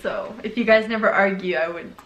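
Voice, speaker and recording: A woman's voice: medium pitch, steady tone, a little fast, a bit bright. A young woman from Ukraine chatting casually with her husband in a home vlog, laughing often. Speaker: vlogger. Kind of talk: casual couple Q&A chat. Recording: echoey room, faint noise.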